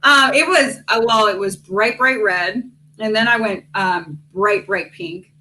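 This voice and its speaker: Bright-voiced young woman on a video call, giggles, says like a lot. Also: medium pitch, a little slow, low-quality recording. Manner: giggly, fills with "like". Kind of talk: casual chat.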